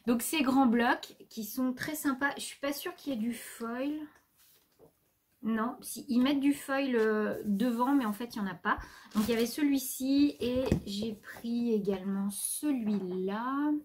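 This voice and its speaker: A woman's voice: fairly high pitch, measured pace, a little mellow. A woman vlogger chatting casually as she shows off her new craft supplies at home, rambling a little and breaking off to talk to her pet. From France. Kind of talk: casual show-and-tell haul. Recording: faint background noise.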